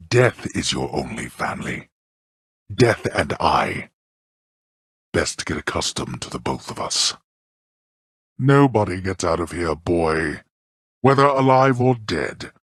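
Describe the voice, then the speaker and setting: A man playing a stern, scornful old god of the underworld in a video game, speaking with pompous, archaic, disdainful diction and a very deep, gravelly voice. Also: a bit bright, dry recording, studio quality, full character voice. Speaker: voice actor. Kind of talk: theatrical scripted video game character lines.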